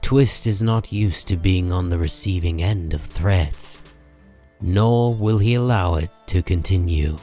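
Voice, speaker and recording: A man narrating a comic book story in a dramatic, deliberate storytelling style. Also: low voice, over music.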